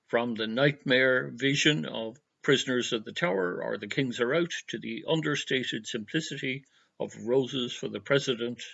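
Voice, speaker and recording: An Irish man delivers a scripted introduction with literary formality. His voice is forward and bright, with a gravelly creak at the edges. The recording sounds a little dull and lo-fi. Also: mid-range voice. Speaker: presenter giving an introduction. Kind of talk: scripted tribute narration.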